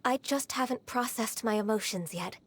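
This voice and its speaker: A woman playing a gentle, dutiful half-human adeptus secretary in a video game, with polite, formal, sometimes hesitant speech. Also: fairly high pitch, normal speed, a little hiss or hum, natural voice. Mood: wistful, composed.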